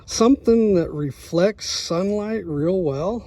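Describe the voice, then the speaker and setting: A middle-aged man talking through a DIY job in a casual, halting, plain-spoken way, thinking out loud. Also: high-pitched. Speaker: truck driver, DIY vlogger.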